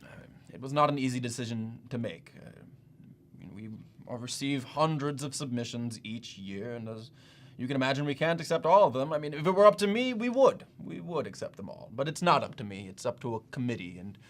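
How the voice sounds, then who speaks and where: An actor playing an awkward, apologetic yet condescending museum official in a comic monologue, with a hesitant, rambling manner. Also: male voice, medium pitch, lively intonation, a little fast, lightly acted. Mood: apologetic, hedging. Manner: hesitant, stammering, rambling, self-correcting.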